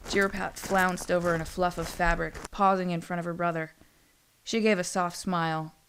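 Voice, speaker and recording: A woman's voice: lowish voice, steady tone, moderate pace, a bit bright, a little hiss or hum, low-quality recording. A woman storyteller reading scripted fantasy narration in an audiobook manner.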